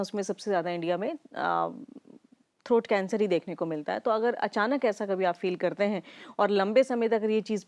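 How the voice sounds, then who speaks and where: A woman's voice: medium pitch, a little mellow. Woman doctor explaining patiently. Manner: measured, explanatory, frequent "uh" pauses. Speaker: Ayurveda doctor. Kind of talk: explanatory interview answers.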